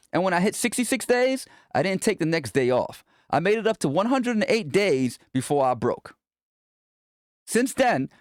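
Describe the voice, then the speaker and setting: A man narrates a self-help audiobook as its author, in a slightly high voice. His pitch moves around a lot as he addresses the listener directly in a conversational, motivational style. The sound is dry, with no room echo. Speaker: author reading own book aloud.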